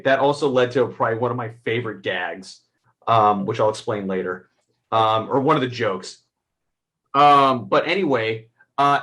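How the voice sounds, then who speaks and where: A man's voice: medium pitch, fairly bright tone. Rambling man in casual film chat. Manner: rambling, circles back to rephrase. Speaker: film review panelist. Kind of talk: casual movie review chat.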